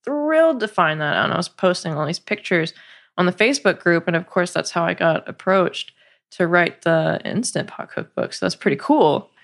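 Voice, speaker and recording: A woman guest talking casually in an interview, chatty and quick to laugh, with plenty of fillers. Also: lowish voice, lively intonation, vocal fry. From United States, partly raised in South Korea. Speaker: podcast guest, cookbook coauthor.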